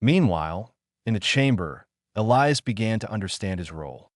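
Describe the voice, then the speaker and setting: A man's voice: fairly low pitch, animated, normal speed, no echo, studio quality. A man reading a scripted science fiction story as a dramatic narrator.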